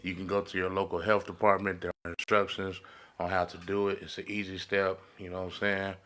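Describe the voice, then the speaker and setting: A man's voice: deep voice, level tone, brisk, fairly bright tone. A man with an American accent chatting casually as a podcast co-host, slangy and repeating short affirmations. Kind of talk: casual chat. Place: podcast studio.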